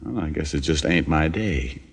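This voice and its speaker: A man's voice: extremely low pitch, normal speed, slightly rough, heavily acted. An actor playing a sardonic, unflappable male private detective who also narrates, in 1940s radio drama style. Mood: wry, cool. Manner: sardonic, dry wit. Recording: old radio recording with loud music.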